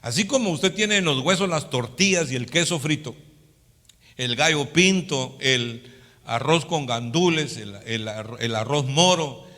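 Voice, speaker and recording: A man's voice: medium pitch, animated, a little slow, pushed and bright. Latin American male preacher in a reverberant hall. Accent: Latin American Spanish. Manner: didactic, frequently seeking agreement with short tag questions. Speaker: pastor. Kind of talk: sermon, teaching.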